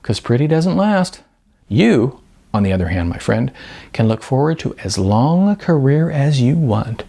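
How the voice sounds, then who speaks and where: Man voicing a brash, animated woman agent. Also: mid-range voice, measured pace, gravelly, breathy edge, exaggerated voice. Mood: breezy, teasing. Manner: breezy, wisecracking, emphatic. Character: brash chatty middle-aged female talent agent, blunt and flirty.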